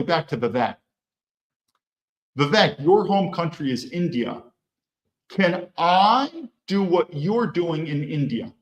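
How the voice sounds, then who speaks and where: A man hosts a solo commentary monologue. His voice sits a little high for a man and has a blunt, opinionated edge. He keeps asking rhetorical questions, and the room around him is echoey. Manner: rhetorical, peppers talk with questions. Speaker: host.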